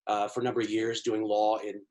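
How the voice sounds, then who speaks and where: A man's voice: fairly low pitch, completely flat delivery, brisk, darkish tone. Man explaining at length, fluent and emphatic. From Washington, D.C., USA. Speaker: guest, China economy analyst. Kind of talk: interview answers.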